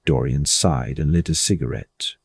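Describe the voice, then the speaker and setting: A man's voice: a bass voice, moderate pace, creaky, slightly rough, no room sound, studio quality. A man reading scripted literary narration as an audiobook narrator.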